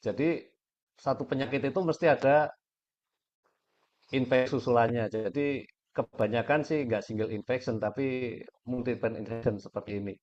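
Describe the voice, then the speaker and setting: Hoarse man, measured presenter, dull lo-fi call audio. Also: medium pitch, a little mellow. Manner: measured, many pauses and fillers. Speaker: guest speaker, aquaculture director. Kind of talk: webinar presentation. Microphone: video call.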